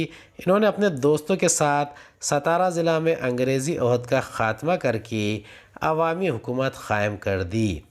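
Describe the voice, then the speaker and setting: A man reading out a lesson methodically as a teacher, announcing each numbered point. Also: on the high side. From India. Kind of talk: scripted lesson, reading questions and answers aloud.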